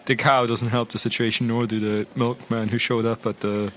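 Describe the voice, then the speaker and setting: A man's voice: mid-range voice, level tone, moderate pace, a bit bright, slight background noise, lightly acted. A man playing a tactful, dry investigator in improvised audio roleplay, hesitant and understated in a conversational way. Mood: dry, frank.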